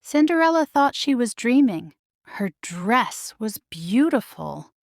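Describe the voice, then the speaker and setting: A woman reading a children's story aloud as a scripted narration, measured and expressive. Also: a little high, no room sound, studio quality. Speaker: storybook reader.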